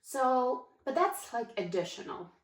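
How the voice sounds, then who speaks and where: A woman's voice: high-pitched, big pitch swings, a little slow. A woman, chatting casually to camera in a vlog and thinking aloud with fillers and restarts. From Ukraine. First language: Ukrainian. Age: late 20s. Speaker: vlogger. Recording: echoey room.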